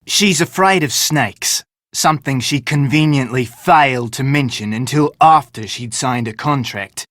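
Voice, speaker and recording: An actor playing a self-important, theatrical film director in an adventure game, speaking pompously with dramatic emphasis. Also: male voice, mid-range voice, unhurried, fairly bright tone, no echo, studio quality, close to an everyday voice. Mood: wry, determined. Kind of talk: scripted game character dialogue.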